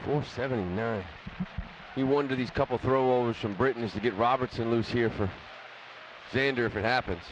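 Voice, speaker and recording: A man's voice: mid-range voice, brisk, noisy background. An American man giving conversational analysis during a live baseball radio broadcast. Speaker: baseball color commentator. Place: stadium broadcast booth. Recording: muffled radio broadcast, loud stadium ambience. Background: loud ballpark ambience.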